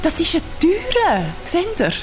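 A woman's voice: very high voice, huge pitch swings, takes it slowly, over loud music, full character voice. An actress playing an observant, sceptical young woman among old friends in an audio drama, questioning and nitpicking. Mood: sceptical, curious.

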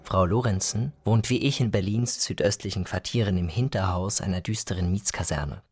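A man with a fairly low voice reads a literary first-person story. He is the narrator, and music plays clearly behind his scripted telling. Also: moderate pace, darkish tone, dry recording.

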